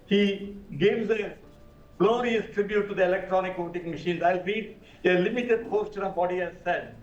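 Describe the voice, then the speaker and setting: A man's voice: high-pitched, normal speed. An older man on a news panel, indignant and emphatic, firing rhetorical questions. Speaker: panel guest, former election official. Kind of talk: studio panel debate. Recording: dull, echoey remote audio.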